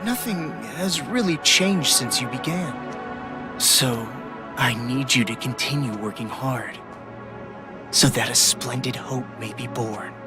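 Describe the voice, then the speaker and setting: A man's voice: mid-range voice, slow, over music, lightly acted. An actor playing an eerily polite young man obsessed with hope, speaking in a theatrical, wistful way in a game cutscene. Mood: quietly manipulative. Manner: theatrical, wistful, formal wording. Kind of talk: video game cutscene acting.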